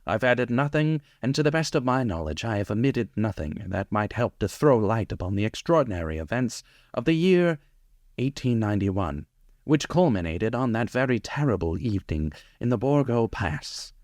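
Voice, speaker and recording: An actor playing an earnest, formal doctor in an old-style radio horror play. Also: male voice, medium pitch, very animated, moderate pace, darkish tone, dry recording, clean studio sound, lightly acted. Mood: grave, formal. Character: male doctor, earnest, fearful, formal witness. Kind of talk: 1930s-style radio drama acting.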